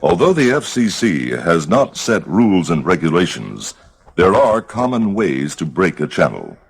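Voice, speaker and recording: A man narrates a scripted instructional guide. His voice is low and gravelly, with a husky grain and lively rises and falls in intonation. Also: measured pace.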